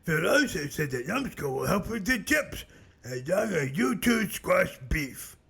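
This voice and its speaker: A man voicing a slow-witted, menacing cartoon brute with a raspy voice, speaking sternly in simple broken grammar. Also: high voice, big pitch swings, fairly bright tone, full character voice. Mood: blunt. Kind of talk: comedic cartoon voice acting.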